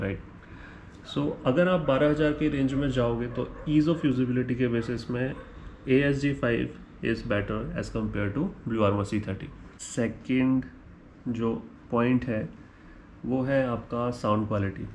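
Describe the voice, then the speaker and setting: An Indian-accented man talks through a product comparison in an unscripted, explanatory way. He mixes Hindi and English within sentences at an ordinary middle pitch. The recording is clearly echoey, with faint noise behind him. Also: a little mellow. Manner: switches between Hindi and English mid-sentence; ends points with a checking "right?". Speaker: motorcycle gear reviewer.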